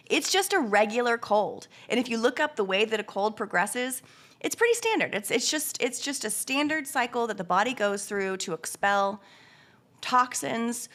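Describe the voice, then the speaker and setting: Hoarse woman podcast host with a cold. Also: moderate pace. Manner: earnest, musing, with animated jumps in pitch. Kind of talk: casual conversational podcast.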